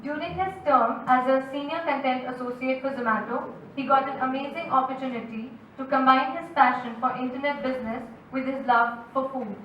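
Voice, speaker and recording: A woman's voice: high-pitched, fairly even intonation, fairly quick, few pauses, lo-fi sound, slight background noise. A woman hosting a university event, formally reading a prepared introduction of a guest. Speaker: event host. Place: university lecture hall. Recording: echoey hall from far off.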